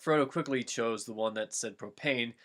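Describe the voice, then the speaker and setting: Young adult male, amateur reader, casual comedic storytelling, halting delivery, muddled names. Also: medium pitch, a bit bright. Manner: halting, stumbles over names. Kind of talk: casual comedic dramatic reading of fan fiction.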